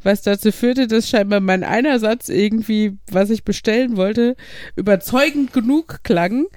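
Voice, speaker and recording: A man's voice: high voice, normal speed, a little mellow, clear, resonant tone, dry recording, studio quality. A young man chatting casually as a podcast co-host, telling rambling anecdotes with frequent giggles. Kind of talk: casual chat.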